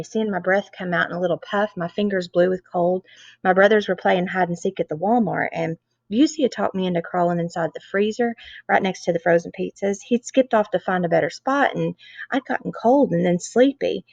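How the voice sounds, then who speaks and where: Creaky-voiced woman reading a children's story. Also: on the low side, brisk, no room sound. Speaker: read-aloud reader. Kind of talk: expressive children's book narration. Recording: dull, lo-fi close recording.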